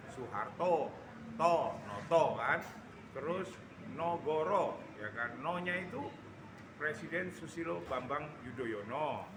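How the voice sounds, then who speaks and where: A man from Java, explaining his views conversationally as an interview guest, often checking that the listener follows. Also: mid-range voice. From Java, Indonesia. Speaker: interview guest giving political opinion. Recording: roomy, echoey sound with background noise.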